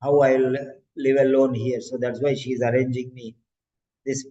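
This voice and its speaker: A man voicing a frail ninety-year-old client who is moving to a retirement home, said in slow, halting phrases. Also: medium pitch, little pitch movement, warm tone, muffled recording, lightly acted.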